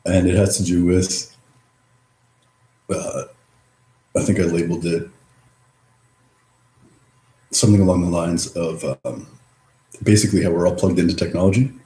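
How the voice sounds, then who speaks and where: Really low mellow guy rambling to camera, mumbly and halting, echoey room. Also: steady tone. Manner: halting, drifting, with long pauses between phrases. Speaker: vlogger. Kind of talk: rambling reflective monologue. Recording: roomy echo.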